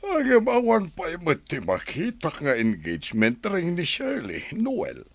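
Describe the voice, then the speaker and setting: Here is a genial old Filipino pensioner father with an Ilocano accent, the head of a close family. He speaks slowly in a radio drama style, with big swings in pitch and a close, dry sound. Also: wildly swooping pitch, gravelly, no room sound, heavily acted. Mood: teasing, pleased.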